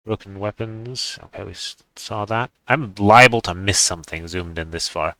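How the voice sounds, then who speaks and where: A man's voice: fairly low pitch, normal speed, pushed and bright, no room sound, low-quality recording. A man giving casual, unscripted commentary on a game, rambling in an offhand way as he thinks aloud. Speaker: gaming video creator.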